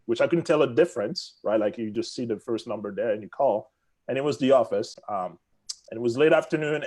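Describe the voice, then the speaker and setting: A man from the Congo whose first language is French, speaking with his accent as an interview guest, telling his story in an animated, self-deprecating way. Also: medium pitch. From Democratic Republic of the Congo. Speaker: guest, real estate executive.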